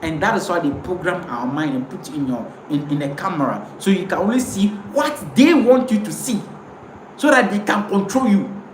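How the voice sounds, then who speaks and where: A woman's voice: on the low side, expressive, moderate pace. Ghanaian woman preaching on a live stream, keeps repeating herself, echoey room, bit of hiss. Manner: emphatic, repeats words, preachy. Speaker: host. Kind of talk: impassioned live talk. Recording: roomy with faint noise.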